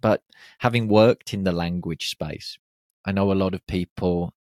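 A man hosting a casual podcast interview, relaxed, often giving short agreements. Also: low voice, unhurried, no room sound, clean studio sound. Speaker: podcast host.

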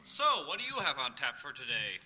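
A man's voice: mid-range voice, huge pitch swings, brisk, sharp tone, slight background noise, exaggerated voice. A man playing a confident, oblivious dad working at home in a live audio play, self-assured and theatrical. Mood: confident, preoccupied.

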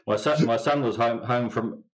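A middle-aged man with a New Zealand accent, a winemaker guest chatting in an interview with a dry, laconic manner. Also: medium pitch. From England. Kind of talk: casual interview chat.

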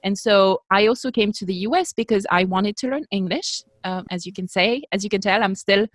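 A middle-aged woman with a French accent talks as a podcast guest in an earnest, self-mocking way, often stopping for um and you know. Her pitch sits mid-range. The sound is dry and close, with no room echo. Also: fairly quick. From France. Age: 40s. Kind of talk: casual interview chat.

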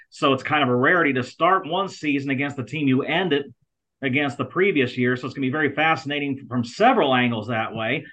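A man's voice: a little high, bright, forceful tone. An American man giving conversational sports talk as a guest contributor on a show. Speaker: guest sports contributor, college athletic communications director. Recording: slightly dull, lo-fi sound.

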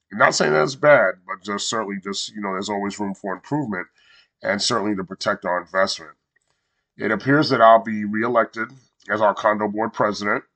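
A man hosting his own podcast in a casual, earnest monologue, sounding congested from a cold. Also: fairly low pitch. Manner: warm, measured and earnest, with small restarts. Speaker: podcast host and coach.

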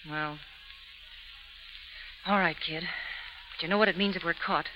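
A woman's voice: lowish voice, steady tone, measured pace, natural voice. An actress playing a tough, streetwise woman convict in 1930s radio style, brusque, slangy and bossy. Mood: scheming. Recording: dull, noisy 1930s radio recording.

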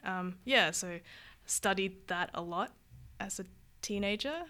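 A woman's voice: mid-range voice, a little slow, pushed and bright. A woman from Vietnam, a guest illustrator, chatting casually in an interview. She rambles and corrects herself, with many "like" and "um".

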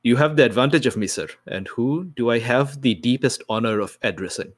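A man's voice: medium pitch, expressive, brisk, lightly acted. A man voicing a deferential sword school master in a tabletop game, faltering and over-polite with nervous charm. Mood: nervous deference.

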